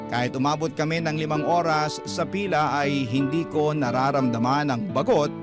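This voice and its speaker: A man with a Filipino accent narrating a scripted first-person story as a radio drama host reading a letter. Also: a little high. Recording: clear guitar music under the voice.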